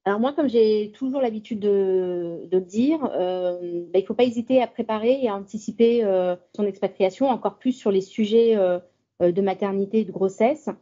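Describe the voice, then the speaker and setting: A woman representative gives practical advice and pauses to hesitate now and then. Her voice is very dark and mellow. The sound is dull and lo-fi. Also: medium pitch. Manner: advising, with hesitations. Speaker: insurance fund representative.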